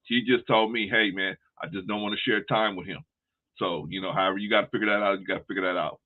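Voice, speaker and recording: A man hosting a casual livestream over a video call, relaxed and reassuring, calling his guests brother and sis. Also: on the low side, fast. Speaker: livestream host. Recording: tinny phone-like call audio.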